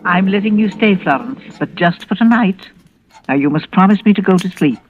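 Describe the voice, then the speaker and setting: A woman's voice: medium pitch, lively intonation, normal speed, lightly acted. An older actress playing a tender, sorrowful London grandmother in a 1940s radio drama. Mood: gentle, reluctant. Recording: muffled old radio recording.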